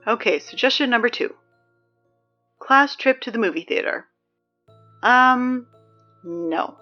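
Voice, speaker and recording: A woman's voice: on the high side, highs cut off. A woman playing a dry, sarcastic teenage girl who reads the school announcements in an audio drama.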